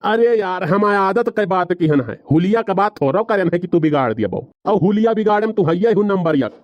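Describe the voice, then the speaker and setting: A man's voice: sits high, lively intonation, fairly quick, dry recording, dull audio. A man voicing an argumentative, excuse-making village husband with an Awadhi accent in a cartoon comedy. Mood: defensive. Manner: rambling, bantering, colloquial.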